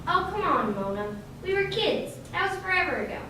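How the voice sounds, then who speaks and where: In a very echoey hall over clear noise, an actress plays a snooty grown-up mean girl in a radio play. Her voice is very high, and she brushes people off breezily. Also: sing-song, fairly quick, recorded from a distance. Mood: haughty, dismissive. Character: Annabelle Ann, haughty, dismissive former school mean girl. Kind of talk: stage radio drama acting.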